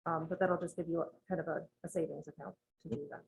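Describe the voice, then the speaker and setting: A woman advising a town board on budgeting, explaining rules in a measured, methodical way with frequent ums, in a town meeting room. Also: low-pitched, fairly even intonation, gravelly, a little hiss or hum, rough recording. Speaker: municipal finance advisor presenting to a town board.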